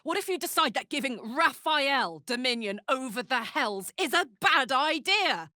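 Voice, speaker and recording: A woman voicing a brash, warm-hearted, battle-hardened fantasy warrior in a video game, with a blunt, earthy, emotive delivery. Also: high voice, animated, normal speed, no room sound, clean studio sound. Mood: worried. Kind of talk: video game character voice acting.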